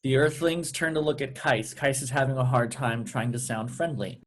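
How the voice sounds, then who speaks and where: A man's voice: mid-range voice, fairly even intonation, moderate pace. A man serving as narrator, reading stage directions aloud at a script read-through.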